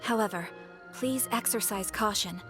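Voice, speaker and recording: A woman playing a composed, courteous guild receptionist in scripted game dialogue, speaking formally and with measured care. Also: medium pitch, music in the background, natural voice. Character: polite, composed young female guild receptionist.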